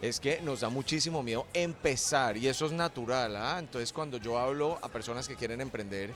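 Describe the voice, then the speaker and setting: A man's voice: mid-range voice, brisk, a bit bright. A middle-aged man from Colombia with a Colombian accent, a guest in a radio interview, explaining his ideas with sayings and metaphors. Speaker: entrepreneur guest.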